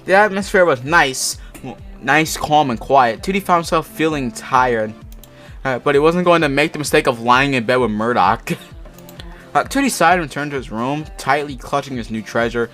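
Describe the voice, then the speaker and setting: A man with a medium-pitched voice reads fiction aloud in a loose, casual way, with music behind him. He stumbles over the text and drops in mocking, sweary commentary. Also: moderate pace, a bit bright. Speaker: amateur fan fiction reader. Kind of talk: casual read-aloud of a story with asides. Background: background music.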